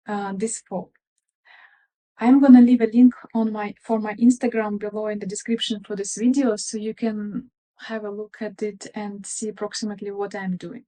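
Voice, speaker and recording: Soft-spoken Russian-accented woman, friendly and shy. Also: medium pitch, poor audio. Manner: friendly, a little shy, chatty with frequent fillers. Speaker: crafting vlogger. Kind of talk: casual crafting video chat.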